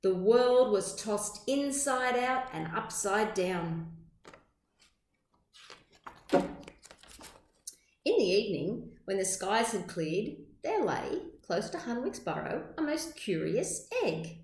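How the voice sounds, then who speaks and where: In an echoey room, a woman reads a children's story aloud. Her medium-pitched voice moves through the sentences deliberately, shaping phrases with teacherly drama. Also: big pitch swings, a little mellow, a little hiss or hum. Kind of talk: expressive storybook read-aloud.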